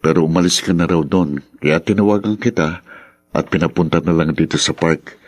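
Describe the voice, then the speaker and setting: A man playing a gentle, concerned friend in a radio drama, speaking earnestly. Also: low voice, moderate pace, dry recording, soft background music. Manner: earnest, consoling. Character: gentle, concerned male friend bearing news.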